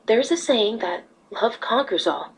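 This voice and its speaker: Woman playing motherly pony ruler, highish husky voice, patient, earnest, storybook fantasy. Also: animated, moderate pace, low-quality recording. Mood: earnest teaching. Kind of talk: character reading of fan fiction.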